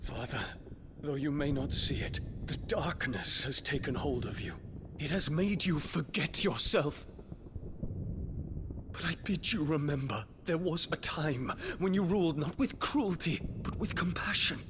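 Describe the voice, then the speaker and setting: An actor playing a noble young prince, speaking formally with measured, grave delivery in a video game cutscene. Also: male voice, a little high, natural voice. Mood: solemn. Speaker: prince confronting his father. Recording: game audio with clear background noise.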